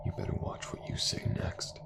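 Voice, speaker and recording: A man's voice: normal speed, natural voice. A man playing a vengeful, tormented man who threatens his enemy with seething menace in an audio drama. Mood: seething, threatening. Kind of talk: dramatic audio roleplay acting. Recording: clearly noisy background ambience.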